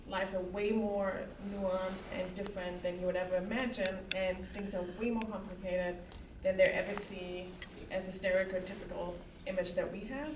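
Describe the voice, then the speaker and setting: A woman from Germany, a film director, answering audience questions earnestly. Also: fairly low pitch. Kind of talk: festival Q&A answers. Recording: echoey hall, distant mic, noise.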